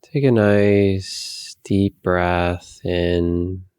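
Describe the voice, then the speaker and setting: A man leading a guided meditation, giving calm, soothing, unhurried instructions with long pauses. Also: low voice, smooth, no room sound.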